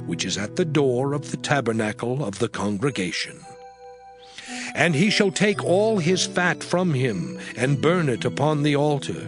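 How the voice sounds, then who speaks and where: A man giving a solemn, declamatory dramatized reading of scripture as an audio Bible narrator. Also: mid-range voice. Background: music under the voice.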